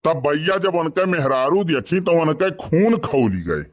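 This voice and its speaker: A man's voice: on the high side, big pitch swings, unhurried. A man voicing a gossipy, mischievous village man in a comedy cartoon, speaking with an Awadhi accent from eastern Uttar Pradesh. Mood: wry storytelling.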